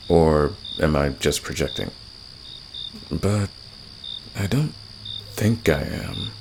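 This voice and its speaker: Man playing a low, gravelly flirtatious villain. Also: noisy background, full character voice. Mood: smug, probing. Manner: teasing, probing, drawn-out pauses. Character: flirtatious, teasing male fantasy villain, smugly confident. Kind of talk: ASMR roleplay, intimate scripted monologue.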